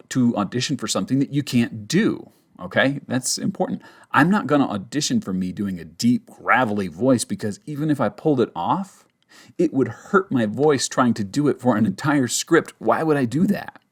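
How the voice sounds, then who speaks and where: A man's voice: medium pitch, husky. A man who works as a voice actor, teaching in a casual, conversational and emphatic way with demonstrations. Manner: emphatic, conversational, checks in with "okay?". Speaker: voice actor giving a tutorial. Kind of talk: casual instructional talk with demonstrations.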